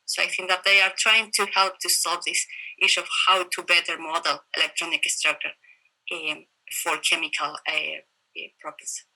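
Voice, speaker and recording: A woman with a Chilean Spanish accent speaks in a very bright, forward voice that sits slightly low. She explains her academic work as an interviewee on a video call, choosing her phrases in a halting, reflective way. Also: level tone, gravelly, low-quality recording. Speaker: interviewed professor of computational chemistry. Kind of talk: interview answers, explaining research.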